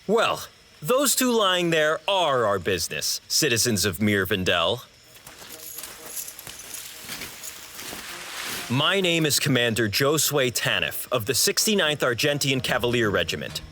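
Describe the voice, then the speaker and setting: An actor playing a stern, haughty imperial cavalry commander in a fantasy audio drama, pompous and formal. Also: male voice, medium pitch, sing-song, moderate pace, bright, forceful tone, a little hiss or hum. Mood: official. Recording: music behind the voice.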